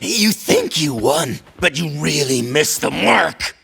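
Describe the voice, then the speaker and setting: A man's voice: fairly high pitch, very animated, takes it slowly, vocal fry, full character voice. An actor voicing a cocky, taunting marksman villain in a video game, sneering and boastful. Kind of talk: video game cutscene acting. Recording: music behind the voice.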